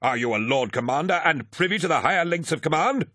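A man's voice: on the high side, lively intonation, fast, pushed and bright, dry recording, voice pushed up. A man performs an imperious lord commander from a science fiction audiobook. The voice sits raised and commanding, with clipped, haughty scorn. The sound is dry, with no room around it. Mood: scornful, angry.

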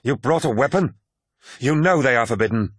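A proud warrior commander, acted by a male audiobook reader, addresses his troops. The delivery is forceful and declamatory, pitched well up, in a very dry recording. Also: normal speed, dry recording, lightly acted. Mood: stern, authoritative. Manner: declamatory, forceful speech-making. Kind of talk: dramatic audiobook character voice.

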